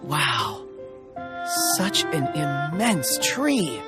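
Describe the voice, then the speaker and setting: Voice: mid-range voice, sing-song, very slow, over loud music. An actor voicing an earnest, polite and hesitant young male traveler in an anime-style video game. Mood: thoughtful. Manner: thoughtful, hesitant.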